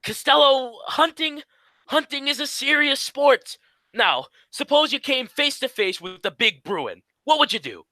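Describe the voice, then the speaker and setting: Man playing an exasperated, high-voiced comedy straight man. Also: expressive, measured pace, bright, forceful tone, dry recording, full character voice. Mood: impatient. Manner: scolding, insistent. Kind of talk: 1940s radio comedy acting.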